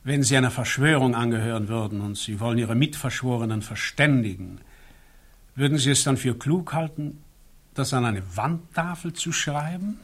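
Actor as husky, skeptical male board member. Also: mid-range voice, close to an everyday voice. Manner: deliberate, pointed questioning. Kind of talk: radio play dialogue.

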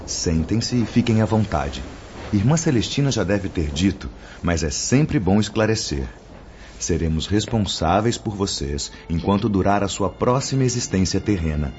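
An actor playing a kindly, solemn spirit mentor in a Brazilian radio drama, speaking with formal, didactic diction and a Brazilian accent. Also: male voice, deep voice, lively intonation, normal speed, darkish tone, slight background noise. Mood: kindly, reassuring. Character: Brother Clemente, kindly solemn male spirit mentor instructing apprentices. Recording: muffled audio under prominent music.

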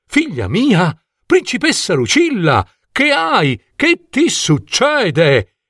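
A man's voice: sits high, wildly swooping pitch, slow pace, fairly bright tone, no room sound, clean studio sound, voice pushed up, exaggerated voice. A man voicing a gracious queen, a tender and stately mother, in a fairy tale. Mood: alarmed. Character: gracious queen, a doting middle-aged mother. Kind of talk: fairy-tale storybook voice acting.

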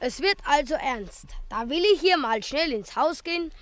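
A woman playing a gruff, blustering schoolboy who loves a fight, in a 1950s radio play. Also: high-pitched, lively intonation, normal speed, heavy background noise. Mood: belligerent. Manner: blustering, scornful. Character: pugnacious, gruff schoolboy brawler.

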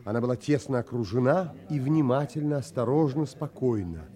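An actor playing an earnest, composed gentleman hero who narrates a seafaring audio play in an expressive, theatrical way. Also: mid-range voice, fairly quick, natural voice. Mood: reflective, grave.

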